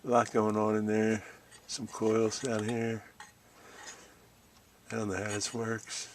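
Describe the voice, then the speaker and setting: A man's voice: lowish voice, monotone, takes it slowly, a little hiss or hum. A middle-aged or older American man giving a casual, folksy hands-on show-and-tell, musing as he goes. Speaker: DIY video host.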